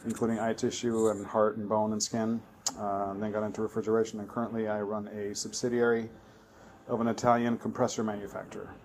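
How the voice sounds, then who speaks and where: A man's voice: fairly low pitch, little pitch movement, fairly quick, darkish tone. A man who grew up in Zambia, Tanzania and Norway, a podcast guest telling his story in a chatty way. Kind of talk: casual interview talk.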